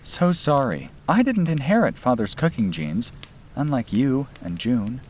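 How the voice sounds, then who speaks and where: A man's voice: mid-range voice, big pitch swings, a little slow, slight background noise, pitched above the performer's own voice. A man voicing a caring, slightly sardonic young woman in a narrated story. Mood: mock apologetic. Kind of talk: audiobook-style character dialogue.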